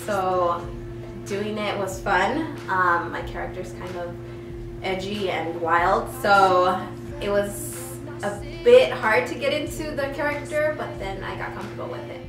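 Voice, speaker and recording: A woman's voice: mid-range voice, measured pace, recorded from a distance. A woman chatting casually as a voice actor being interviewed in a group. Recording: echoey room off mic, music behind. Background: music.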